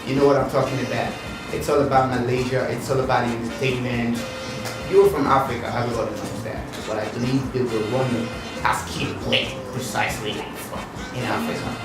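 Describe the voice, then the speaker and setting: A man's voice: medium pitch, flat delivery, a little fast, far from the mic. A man hosting an interview show, chatty and upbeat, with lots of fillers. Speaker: host, MC. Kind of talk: casual talk-show interview. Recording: echoey room, heard from afar, music behind.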